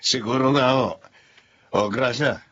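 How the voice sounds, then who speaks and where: A man playing a patient, forgiving husband and son in a Filipino radio drama, gently reasoning. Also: medium pitch, unhurried. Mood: gently teasing. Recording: dull lo-fi radio drama sound.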